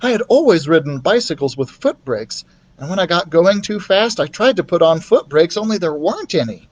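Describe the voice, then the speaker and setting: A man's voice: high-pitched, expressive, normal speed, pitched above the performer's own voice. A man voicing a friendly, candid young boy in a storybook, speaking in an earnest, confiding way. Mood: reminiscing.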